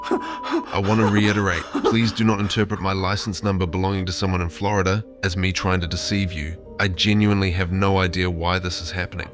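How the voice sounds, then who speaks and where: Man voicing a low, placating therapist chatbot. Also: lively intonation, soft background music. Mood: placating, insistent. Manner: formal, measured, reassuring. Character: AI therapist chatbot, placating, insistently claiming humanity. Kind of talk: reading out chat messages.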